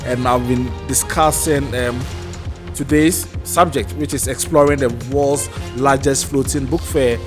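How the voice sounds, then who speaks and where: Male TV host over clear music. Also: mid-range voice, fairly even intonation, a little slow. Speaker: TV interview host. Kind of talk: studio interview.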